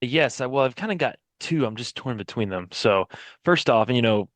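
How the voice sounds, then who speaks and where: A man's voice: mid-range voice, expressive, normal speed, no echo. A man who voices drama characters, talking casually about his favorite moments. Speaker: voice actor on an audio drama. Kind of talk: casual chat, reminiscing.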